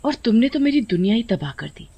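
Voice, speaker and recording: A woman's voice: fairly high pitch, very animated, brisk, warm tone, breathy edge, voice pushed up, full character voice. A woman voicing a giant female serpent in a horror story, a grieving mother who is menacing, taunting and bent on revenge. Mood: bitter vengeance. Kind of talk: Hindi horror cartoon story acting.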